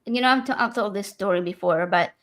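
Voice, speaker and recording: A woman's voice: mid-range voice, a little fast. A woman in her thirties, originally from Argentina, telling a personal story candidly on a casual podcast, with many fillers and restarts.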